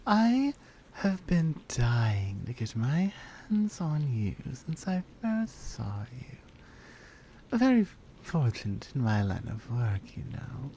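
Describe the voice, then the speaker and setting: A confident, flirty lioness acted out by a woman purrs through an intimate ASMR roleplay in a low, mellow voice. Her delivery is unhurried and breathy, teasing as it slips toward whispers, with lively melodic swings over faint background noise. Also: heavily acted.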